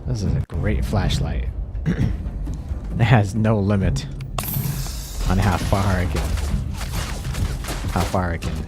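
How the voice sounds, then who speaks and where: A man hosting a relaxed ASMR gaming livestream, soft-spoken, reacting in slow drawn-out words. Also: deep voice. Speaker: streamer. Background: game music.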